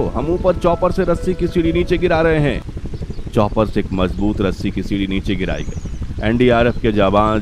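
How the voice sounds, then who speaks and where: A man's voice: fairly low pitch, background noise. A man reading a scripted, dramatic story narration.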